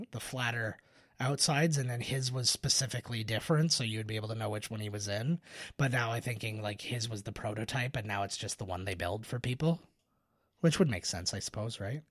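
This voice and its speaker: A man giving casual film commentary as a co-host, thinking aloud in a halting way with false starts. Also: mid-range voice, dry recording, clean studio sound. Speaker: podcast co-host.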